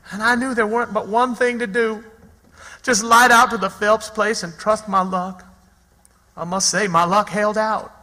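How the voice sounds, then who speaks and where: Folksy young Southern runaway: very sharp, high voice, plain-spoken radio storytelling, reverberant sound. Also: male voice. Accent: American Southern. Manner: folksy, colloquial. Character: plucky, folksy runaway country boy. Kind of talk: old-time radio theatre, first-person storytelling. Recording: reverberant sound with faint music.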